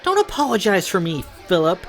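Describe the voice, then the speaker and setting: A man voicing a brash, bullying young noblewoman with a loud, sneering voice in a read-aloud fantasy story. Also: mid-range voice, expressive, unhurried, a faint crowd.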